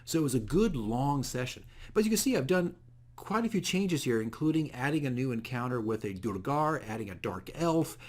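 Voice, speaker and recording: A man hosting a casual, unscripted video diary as a dungeon master, explaining things in a rambling, self-correcting way. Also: mid-range voice. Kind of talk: casual unscripted game session recap.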